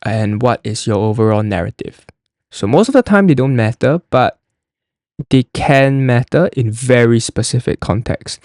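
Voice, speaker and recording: A young man talks through an application form like a casual explainer. His voice sits at a middling pitch. He is chatty and loose, often restarting and leaning on "like", and the recording is dry and close. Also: animated, normal speed, a little mellow, dry recording. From Singapore. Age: young adult. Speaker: college admissions podcast host.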